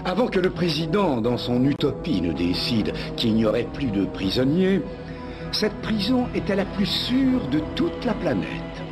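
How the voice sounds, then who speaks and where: An actor playing a scheming, theatrical villain in a dubbed cartoon, pompous and full of mock courtesy. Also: male voice, on the high side, wildly swooping pitch, measured pace. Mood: smug menace. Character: scheming villain, suave, theatrical, gloating male tyrant. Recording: music under the voice.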